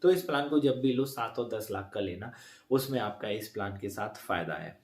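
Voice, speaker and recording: A man's voice: lowish voice, expressive, normal speed, roomy sound. A man casually explaining an insurance plan to his viewers, repeating points and correcting himself as he goes. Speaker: insurance advisor vlogger.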